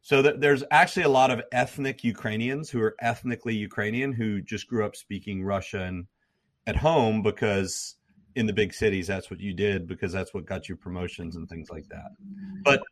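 A man's voice: lowish voice, moderate pace. A man speaking as an interview guest, explaining history at length in a lecturing way, often repeating and restarting words. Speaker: guest, former troop commander and history professor.

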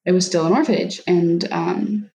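A young woman, a guest, speaking hesitantly and softly, with many likes. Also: fairly low pitch, moderate pace, gravelly, slight background noise, rough recording. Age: young adult. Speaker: guest, daughter of an adoptee. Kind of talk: reflective conversation.

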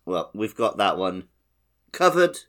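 Animated man with lively, swooping pitch. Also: measured pace. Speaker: podcast co-host. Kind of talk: casual chat about a video game.

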